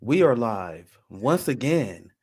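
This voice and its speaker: A man hosting a live talk show, speaking with many restarts and pauses. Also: mid-range voice, big pitch swings, a little mellow, slightly rough. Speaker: host.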